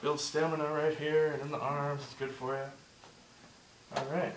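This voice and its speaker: A man teaching a movement drill step by step, encouraging and repeating himself, slightly hoarse and short of breath. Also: a little high, little pitch movement, measured pace, reverberant, far from the mic, background noise. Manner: encouraging, repeats "just like that" and "good". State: losing his voice, a bit out of breath. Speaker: instructor. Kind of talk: step-by-step demonstration lesson.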